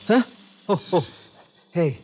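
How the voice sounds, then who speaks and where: A dull, clicky vintage radio recording of an excitable comic sidekick. He is fussy and wheedling, always after a few bucks, and his high voice leaps up and down. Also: takes it slowly, slightly rough, exaggerated voice. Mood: fretful, relieved. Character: excitable, grasping male sidekick, forever angling for cash. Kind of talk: 1940s-50s American radio drama acting.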